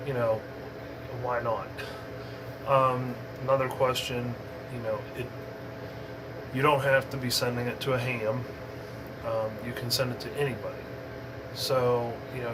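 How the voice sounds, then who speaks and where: Man, mid-range voice, informal explainer, halting, rambling, unscripted, noticeable steady background hum. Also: medium pitch. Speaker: amateur radio hobbyist giving an introduction.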